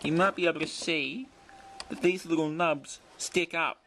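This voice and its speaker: A man's voice: on the high side, fairly even intonation, normal speed, fairly bright tone, slight background noise. A man giving an unscripted show-and-tell as a video presenter, explaining things carefully and in detail. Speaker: presenter of a vintage radio demonstration video. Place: indoors in a bright room.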